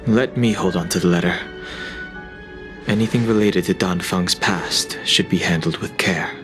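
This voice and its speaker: A male actor as a brooding young man from a fantasy video game. He is reserved and guarded, choosing his words in a serious, measured way. Clear background music plays under him. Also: low-pitched, lightly acted. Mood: guarded, pensive.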